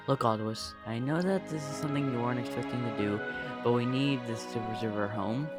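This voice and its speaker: A man's voice: medium pitch, moderate pace, close to an everyday voice. A man voicing a protective, reassuring mother with a firm manner in a dramatic reading. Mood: firm, worried. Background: loud background music.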